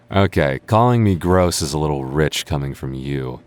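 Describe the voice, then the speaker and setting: A man's voice: rumbling low, a little mellow, full character voice. A young man acting as a sarcastic, teasing and stubborn childhood friend in a naturalistic boyfriend audio roleplay. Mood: teasing, softer. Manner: teasing, sarcastic, stammers when flustered. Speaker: voice actor.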